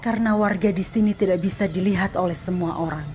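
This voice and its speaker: A mysterious forest spirit woman in a horror cartoon, played by a woman, speaking calmly and politely to draw a stranger in. Her pitch is middling and even. Clear background noise sits under her voice. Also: quick pace, lightly acted. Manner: calm, polite, quietly persuasive.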